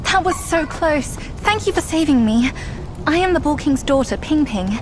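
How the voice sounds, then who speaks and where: An actress playing a young fox-spirit princess in a fantasy game, plaintive, beseeching and halting, earnest yet crafty. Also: female voice, high voice, expressive, background noise. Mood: nervous, earnest. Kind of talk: video game fantasy acting.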